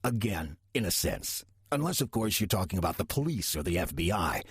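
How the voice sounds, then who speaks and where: An older demon hiding out as a church usher, played by a man, speaks in a low, very husky voice. His sarcasm is dry and deadpan, with plenty of rise and fall, as in a horror audio drama. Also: a little fast, no room sound, full character voice. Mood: sly, patient. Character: wry demon posing as a 63-year-old church usher. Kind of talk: audio drama acting with first-person narration.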